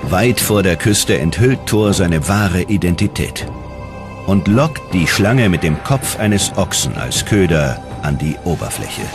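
A man with a very low voice narrates a scripted documentary. His delivery is dramatic and measured, with strongly varied, animated intonation. Music plays behind his voice.

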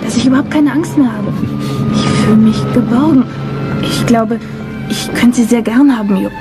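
Actress for a trusting, tender young woman in wartime radio drama, voice on the high side. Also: normal speed, music in the background, close to an everyday voice. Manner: tender, earnest. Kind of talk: German radio play acting.

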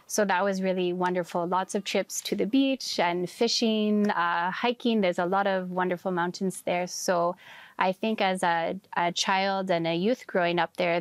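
Woman, thirties, from Canada, gentle and earnest, lowish voice, dry room. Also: no echo. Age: 30s. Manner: gentle, earnest, with frequent soft fillers. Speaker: interview guest. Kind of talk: casual storytelling interview.

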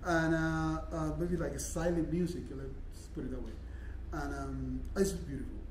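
Cuban-accented male musician, native Spanish speaker, higher-set voice, halting informal stage introduction, picked up from a distance. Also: steady tone. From Cuba. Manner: halting, searching for words. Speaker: jazz pianist. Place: concert hall stage, indoors. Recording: echoey stage, distant mic, faint noise.

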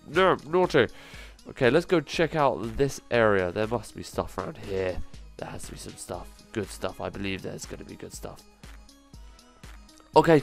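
Guy w a stuffy nose from a cold doing excitable game commentary, normal pitch voice, little bit of music behind. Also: vocal fry. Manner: excitable, lots of exclamations. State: nasal from a cold. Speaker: gaming channel host. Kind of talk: gameplay commentary.

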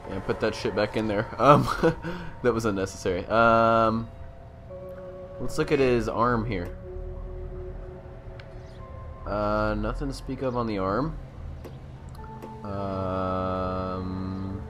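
A young man hosts a casual game walkthrough. He speaks slowly, thinking aloud in a slangy, joking way. Faint music runs underneath. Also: on the low side. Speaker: gamer, walkthrough host.

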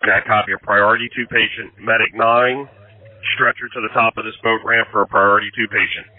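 A man's voice: on the low side, steady tone, fairly quick, dry recording. A male incident commander giving procedural instructions over an emergency two-way radio. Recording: narrow dry two-way radio transmission.

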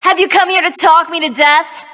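A woman's voice: very high-pitched, fairly even intonation, moderate pace, bright, forceful tone, big-room sound. A woman voicing a young magical-girl archer heroine for a video game, cocky, peppy and teasing, with sassy, theatrical delivery. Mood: cocky, mocking. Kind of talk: video game character voice lines.

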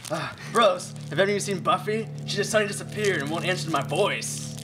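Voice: mid-range voice, fairly quick, music in the background, natural voice. An actor playing a boisterous young male bro camper full of slang in a live radio play. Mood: worried. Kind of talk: live student radio theatre.

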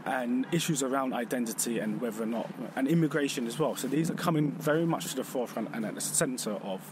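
A man speaking reflectively outdoors, pausing and correcting himself as he talks. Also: mid-range voice. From Vietnam. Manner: thoughtful, pausing, self-correcting. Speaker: exhibition contributor. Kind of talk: reflective personal testimony. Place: outdoors on a city street. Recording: noisy street with traffic.

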